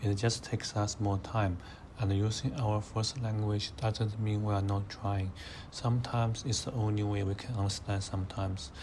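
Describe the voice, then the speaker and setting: A young man of eighteen with a Mandarin Chinese accent, his voice sitting a little low. He answers in a measured, hesitant way, pausing on fillers. Faint background noise runs under the recording. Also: level tone. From China. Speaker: interviewee, high school student. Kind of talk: careful answering in interview.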